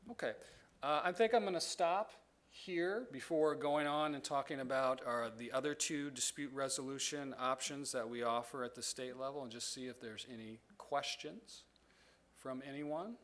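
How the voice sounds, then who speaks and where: A man's voice: mid-range voice, fairly bright tone. Man explaining at a presentation. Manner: measured, explanatory, with frequent ums and restarts. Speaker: presenter from a state education agency. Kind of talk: presentation answering audience questions.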